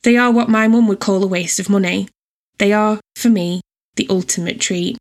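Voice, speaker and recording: A woman reads a scripted personal essay in a measured, descriptive storytelling manner. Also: mid-range voice, steady tone. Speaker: writer and editor reading own essay.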